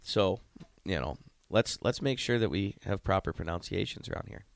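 Deep gravelly man from Ohio. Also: expressive, moderate pace. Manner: rambling, many restarts. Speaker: head coach and co-host. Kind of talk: casual radio chat.

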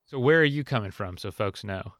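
A man hosting a podcast, talking in a relaxed, chatty way with casual slang. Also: fairly low pitch, a little fast, dry recording, studio quality. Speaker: podcast host.

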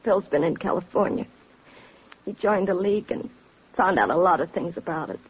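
A woman's voice: on the low side, animated, normal speed, breathy edge. An actress playing an earnest, worried young woman in a 1940s radio drama. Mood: subdued, anxious. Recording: muffled old radio recording.

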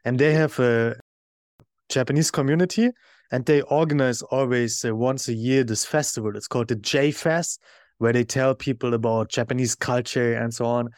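A man hosting a podcast, asking questions in a steady, chatty way. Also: medium pitch, moderate pace, no echo, studio quality. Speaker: podcast host. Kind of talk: interview questions.

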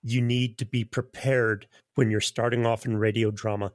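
A man's voice: mid-range voice, flat delivery, normal speed, no room sound, clean studio sound. A man speaking conversationally as an interview guest who produces audio drama.

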